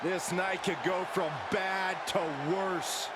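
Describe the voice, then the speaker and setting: A man's voice: high voice, flat delivery, takes it slowly. A man playing an anxious, incredulous wrestling commentator reacting to a match in a video game. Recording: loud arena noise behind.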